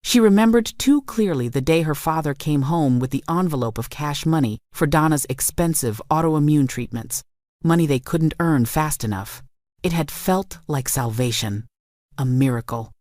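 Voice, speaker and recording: A woman reading a scripted dramatic story in a measured, solemn storytelling manner. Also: low voice, lively intonation, darkish tone, dry recording, clean studio sound. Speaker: story narrator.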